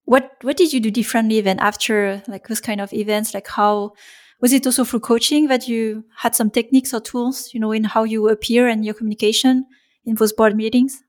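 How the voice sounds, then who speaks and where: A woman hosting an interview and adding her own reflections. Her voice sits a little high, and she speaks thoughtfully with pauses and fillers. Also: steady tone, darkish tone. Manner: thoughtful, hesitant, many fillers. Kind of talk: conversational interview.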